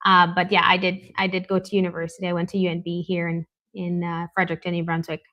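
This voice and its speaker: A woman's voice: on the low side, monotone, fast, lo-fi sound. A woman guest on a talk show, a life coach from Canada, talking candidly and reflectively, often adding "right?" as she goes. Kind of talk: conversational interview.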